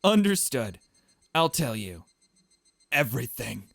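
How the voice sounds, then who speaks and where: A man voicing a demure, intense, lovestruck young character from an anime visual novel, politely and deliberately. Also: medium pitch, big pitch swings, gravelly, a bit bright, no echo, heavily acted. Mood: earnest, insistent.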